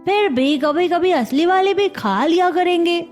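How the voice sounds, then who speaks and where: A woman plays an eager young schoolchild in a cartoon. The voice is high and cheerful, with faint music behind it. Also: measured pace, a little mellow. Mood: pleading. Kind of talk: cartoon child acting.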